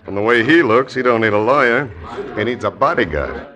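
An actor playing a menacing saloon boss and claim jumper in a 1950s radio western. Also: male voice, medium pitch, lively intonation, fairly quick, pushed and bright. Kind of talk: 1950s radio drama acting. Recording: old radio broadcast with crowd noise.

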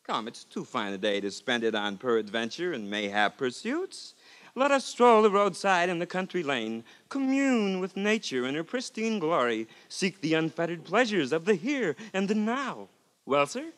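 Brief: flamboyant rascal, very high and bright, florid bombast, excitable, old-time 1950s radio play. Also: male voice, normal speed. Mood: grandiloquent, scornful. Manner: grandiloquent, excitable, florid declaiming. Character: flamboyant, bombastic, mischievous male rascal and braggart companion. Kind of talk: 1950s radio drama acting.

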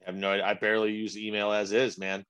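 A man's voice: on the low side, flat delivery, fast, fairly bright tone, smooth. A man, co-hosting a podcast with crude wisecracks. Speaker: podcast co-host. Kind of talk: casual chat.

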